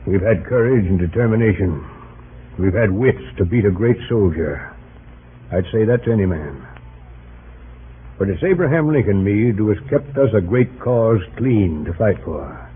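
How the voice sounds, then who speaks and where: An actor playing a loyal, forthright middle-aged army commander in an old-time radio drama, formal, earnest and direct. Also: male voice, lowish voice, expressive, moderate pace, lightly acted. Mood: warm admiration. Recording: tinny, noisy old radio recording.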